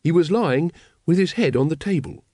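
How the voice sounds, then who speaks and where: A man's voice: fairly high pitch, lively intonation, normal speed, warm tone, no echo, studio quality, pitched above the performer's own voice. A man voicing a naive, earnest young man reading out his letter home, literal-minded, in an audiobook.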